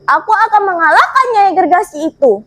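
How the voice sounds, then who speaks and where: A woman's voice: very high voice, lively intonation, fairly quick, fairly bright tone. A woman voicing a tiny, brave young man in a folktale, bold, insistent and cocky. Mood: determined. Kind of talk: theatrical folktale storytelling.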